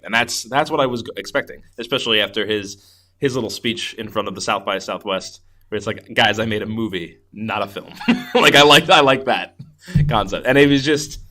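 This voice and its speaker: A man's voice: lowish voice, bright, forceful tone. A man co-hosting a podcast, chatting casually and enthusiastically about movies, often restarting his sentences as he rambles. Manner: enthusiastic, rambling with repeated false starts. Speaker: podcast co-host. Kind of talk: casual movie review chat.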